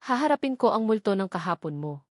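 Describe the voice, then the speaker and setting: A woman's voice: medium pitch, big pitch swings, quick pace, no room sound, natural voice. A woman narrator as resolute, protective man, dry sound. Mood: cold, commanding. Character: protective, resolute wealthy man, tender but stern. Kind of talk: dramatic storybook character reading.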